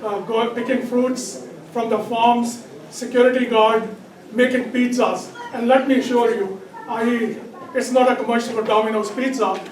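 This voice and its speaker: High-pitched hoarse Pakistani man speaking in hall. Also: fairly even intonation, normal speed. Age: middle-aged. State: hoarse, bad throat. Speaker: political candidate. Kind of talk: campaign speech to a community audience. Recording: echoey hall, distant mic, background noise.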